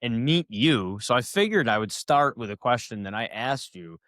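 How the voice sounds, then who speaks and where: A man's voice: medium pitch, animated, normal speed, fairly bright tone, no echo, clean studio sound. A man hosting a podcast, enthusiastic and hype-style, often tagging his sentences with "right?" and "like". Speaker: podcast host, loan officer. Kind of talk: casual podcast interview.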